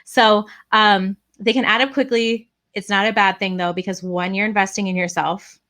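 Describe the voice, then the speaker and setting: A woman's voice: medium pitch, fairly even intonation, normal speed, a bit bright. A woman giving casual, chatty advice as a business coach, with frequent fillers. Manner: chatty, many fillers and restarts.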